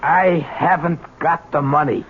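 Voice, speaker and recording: An actor playing a bitter, world-weary old ex-convict father with rough, wry street slang, in a 1970s radio drama. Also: on the high side, fairly even intonation, unhurried, lightly acted. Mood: weary, defensive. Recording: dull, noisy old radio broadcast.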